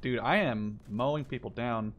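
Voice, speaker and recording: A man in his twenties from the Netherlands, chatting casually and joking with a friend while playing a shooter game, with sudden excited shouts. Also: medium pitch, moderate pace, dull audio, noisy background. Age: 20s. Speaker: gaming channel host. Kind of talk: casual gameplay chat with a friend.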